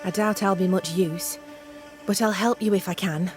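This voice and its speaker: A woman's voice: mid-range voice, steady tone, normal speed, soft background music, lightly acted. An actress playing a guarded, reluctant colonial woman in a video game, speaking in a terse, wary manner. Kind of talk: scripted video game cutscene acting.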